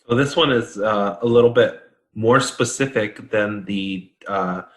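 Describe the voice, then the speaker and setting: A man with a fairly low voice talks as a consultant in an informal discussion. He explains details in a measured way, and the room around him is clearly echoey.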